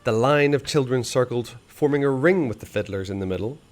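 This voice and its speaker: A man reading a children's novel aloud, expressive and hushed in the dark passages. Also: medium pitch, brisk, faint music behind. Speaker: storyteller.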